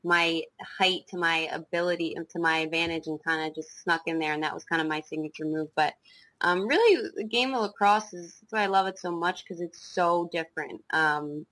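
A young woman with a slight New Jersey accent talks as a guest on a podcast, pausing often with ums and restarting her sentences. Her voice sits low for a woman. The sound is dry and close, with no room behind it. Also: fairly bright tone, dry recording, rough recording. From South Jersey, USA. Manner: hesitant, many ums and restarts. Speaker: guest lacrosse coach. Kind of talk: casual interview chat.

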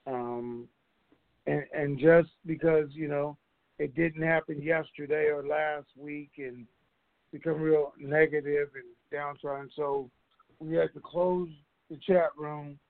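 A man speaks over a dull, narrow conference-call line with very little room sound. His voice sits a little high. He hosts informally, rambling along in a conversational way as he addresses the listeners. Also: no echo.

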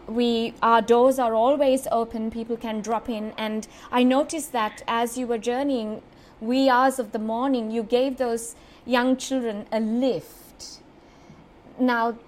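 A woman from Sri Lanka with a slightly high voice interviews a guest, speaking earnestly and warmly admiring. Her questions come slowly and carefully.

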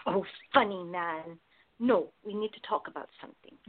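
A woman's voice: on the low side, normal speed, natural voice. An actress playing a wry, protective woman with a blunt, teasing manner in radio play dialogue. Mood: amused. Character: wry, protective woman, devoted to her girlfriend. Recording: narrow phone-like sound.

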